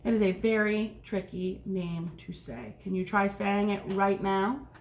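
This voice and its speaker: A woman's voice: medium pitch, fairly even intonation, a little slow, vocal fry, darkish tone, slight background noise. A woman teacher reading a picture book aloud to young children, explaining things and checking in with questions. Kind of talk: read-aloud storytime with asides to children.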